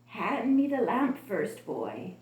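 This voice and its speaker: A woman voicing a scheming, wicked male sorcerer in a storybook tale, speaking slowly and slyly. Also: mid-range voice, very animated, darkish tone, roomy sound. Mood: demanding. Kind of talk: storybook character voice.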